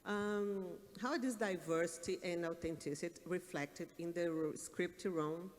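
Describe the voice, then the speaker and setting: A man moderating a panel, asking questions in a hesitant, halting way, recorded in an echoey hall. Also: sits high, steady tone. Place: large indoor conference hall.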